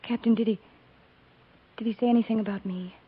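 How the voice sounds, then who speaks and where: A woman acting a reserved, grieving frontier woman in a 1950s radio melodrama, halting and full of regret. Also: fairly high pitch, steady tone, husky. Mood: regretful, restrained. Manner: halting, confessional, restrained. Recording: muffled 1950s radio recording.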